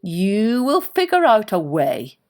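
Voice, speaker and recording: A woman voicing a wise old male hermit in a storybook tale, speaking in a deliberate, mysterious way. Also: medium pitch, huge pitch swings, a little mellow. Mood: cryptic, measured.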